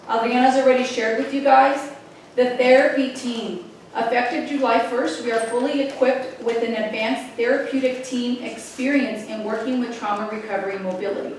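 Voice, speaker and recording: A woman with a fairly high voice gives an informal report at a board meeting. She is hesitant and restarts phrases, heard from a distance in a very echoey room. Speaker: chief executive giving a report. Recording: very echoey room, distant mic, faint noise.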